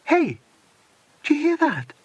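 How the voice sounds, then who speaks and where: A man's voice: very high voice, wildly swooping pitch, slow pace, no echo, voice pushed up, exaggerated voice. A man voicing a confident, slightly bossy schoolgirl in a children's audiobook. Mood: scathing, alert.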